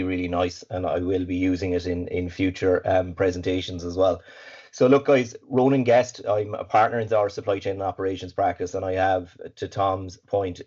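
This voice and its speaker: An Irish man with a raspy voice, slightly congested from hay fever, giving an upbeat webinar talk as guest speaker. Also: low-pitched, darkish tone. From Ireland. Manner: upbeat, fluent, with filler words and repeats. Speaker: guest speaker, supply chain consultant. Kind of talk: webinar presentation. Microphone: video call. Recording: dull lo-fi video call audio.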